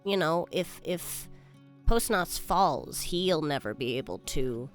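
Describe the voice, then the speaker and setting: A woman's voice: low voice, animated, takes it slowly, soft background music. A woman playing a tabletop roleplaying game, reasoning aloud as she makes her case to the group.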